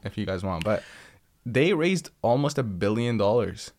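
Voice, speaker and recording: A man with a low voice chats as a podcast guest. He explains things in long, rambling runs, restarting often and padding with fillers. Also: expressive, normal speed, a little mellow, a little hiss or hum. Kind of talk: casual podcast chat.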